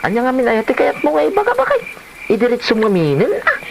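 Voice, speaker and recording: A man's voice: extremely high pitch, huge pitch swings, quick pace, creaky, noisy background, full character voice. A man playing a stubborn, joking, blustering husband in a comedy audio drama. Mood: defiant. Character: stubborn, joking husband who raids grave offerings. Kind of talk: comedy radio-style drama acting.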